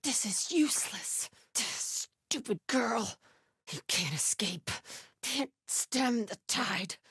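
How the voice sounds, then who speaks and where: A woman's voice: a little high, lively intonation, slow pace, slightly rough, no echo, clean studio sound, full character voice. A woman playing a fierce, devout cult fighter in a video game, delivering her lines with fanatical conviction. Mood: strained, defiant. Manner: urgent shouted battle calls, hushed prayerful murmurs.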